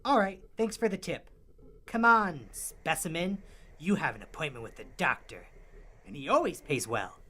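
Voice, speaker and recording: A woman's voice: low voice, expressive, normal speed, sharp tone, heavily acted. A woman playing a shifty, dim, hard-up alien catcher in a comic radio play, hesitant, evasive and whiny. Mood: chatty, dim.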